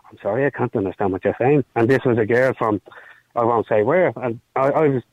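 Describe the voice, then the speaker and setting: A middle-aged man calling a radio show, speaking fast and earnestly in a common-sounding way. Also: mid-range voice. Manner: fast, self-described common speech, earnest. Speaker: caller. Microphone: telephone. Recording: tinny phone line.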